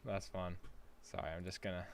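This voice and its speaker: A man's voice: low voice, monotone, a little fast, a little hiss or hum. A man chatting casually on a gaming livestream, playful, reading chat aloud and laughing often. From United States.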